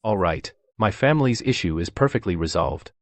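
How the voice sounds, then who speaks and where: Deep, animated, domineering husband played by a man. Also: a little fast, no echo, lightly acted. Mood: smugly commanding. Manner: bossy, condescending. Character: domineering, self-righteous eldest son and husband. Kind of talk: dubbed family radio drama.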